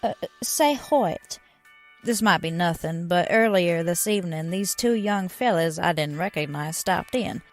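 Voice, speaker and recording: Woman as a sassy low-voiced diner waitress. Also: expressive, unhurried, soft background music. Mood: concerned. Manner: sassy, colloquial. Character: folksy, teasing female small-town diner waitress. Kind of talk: radio drama acting.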